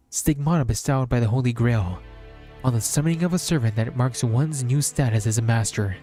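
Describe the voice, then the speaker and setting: A man's voice: medium pitch, dark tone. A man streaming as a VTuber, reading a visual novel aloud as narration.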